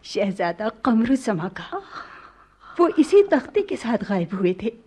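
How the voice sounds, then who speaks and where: A woman's voice: a little high, sing-song, a little slow, mellow, a little hiss or hum. A woman playing a princess who rules in men's clothing, commanding yet tender, with formal courtly delivery in a radio play. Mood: hushed, intent. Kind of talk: Hindi radio play acting.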